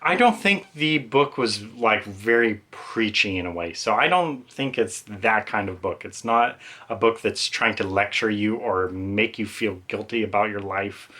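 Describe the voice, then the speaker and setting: A man's voice: on the low side, lively intonation, a little slow, hardly any pauses, bright, forceful tone. A man giving an informal, rambling, self-aware book review as a reviewer talking casually on video.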